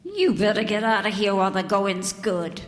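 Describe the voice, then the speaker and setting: An actress playing a cool, suspicious assistant in a radio detective drama, blunt and guarded. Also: female voice, mid-range voice, big pitch swings, moderate pace, natural voice. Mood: warning, cool. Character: cool, suspicious, hard-edged female shop assistant. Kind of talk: old-time radio detective drama acting. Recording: noisy, reverberant hall recording.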